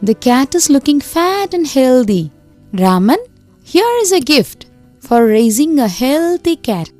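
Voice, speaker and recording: A woman voicing a gracious adult queen in an animated children's story, bright and approving. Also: high-pitched, unhurried, faint music behind.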